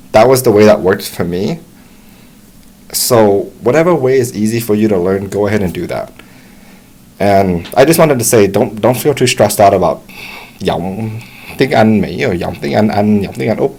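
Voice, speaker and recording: A young American man giving relaxed, encouraging advice to English learners, talking casually with frequent restarts. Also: lowish voice. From United States. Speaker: English tutor. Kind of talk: casual talk to camera.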